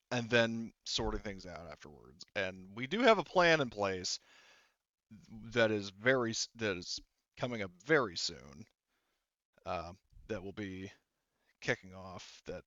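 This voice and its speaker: Low voiced guy game mastering, rambly n sweary, smooth voice, bit lo-fi. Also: a bit bright, no echo. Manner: rambling, filler-heavy, casually profane. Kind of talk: improvised tabletop narration, conversational.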